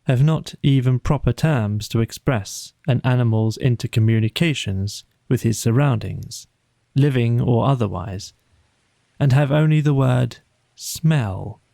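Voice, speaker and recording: Casting: male bedtime audiobook narrator, very unhurried and soothing, dark mellow tone, close dry sound. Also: medium pitch, gravelly, no room sound. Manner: measured, soothing, deliberate phrasing. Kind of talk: slow bedtime storybook narration.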